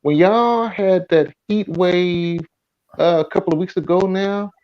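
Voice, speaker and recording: A man's voice: high-pitched, measured pace, highs cut off. A man, hosting a casual live chat stream in an easygoing, folksy way. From Virginia, USA.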